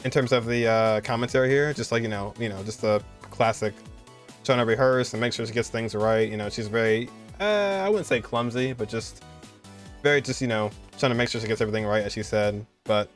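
A man's voice: medium pitch, fairly even intonation, fairly quick, faint music behind. A man, giving casual, enthusiastic reaction commentary in an informal way. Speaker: reaction video host.

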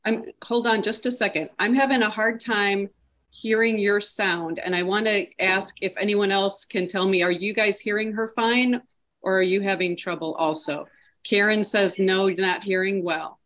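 A woman's voice: mid-range voice, fairly even intonation, normal speed, a bit bright. A woman moderating a panel on a video call, prompting speakers with encouraging questions.

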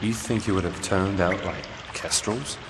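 A man playing a calm, concerned ally in a video game, speaking in a serious, measured way. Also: low voice, lightly acted. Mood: sympathetic. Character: calm, concerned male ally of a vampire hunter. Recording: noisy ambience, trickling water behind.

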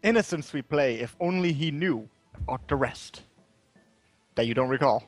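A man's voice: medium pitch, expressive, soft background music, natural voice. A man reading as a brooding mystic voice. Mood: ominous. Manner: slow, dramatic reading.

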